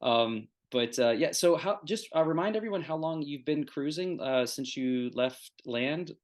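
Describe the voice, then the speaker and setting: A man hosting a podcast in casual chat, upbeat and laughing often, with quick reactions to his guests. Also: a little high, animated. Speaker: podcast host.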